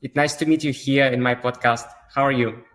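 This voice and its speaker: A man's voice: mid-range voice, fairly even intonation, brisk, a bit bright. A man hosting a podcast, reading a scripted intro and asking his guest questions. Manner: reads intro from script, then asks questions with fillers. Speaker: podcast host.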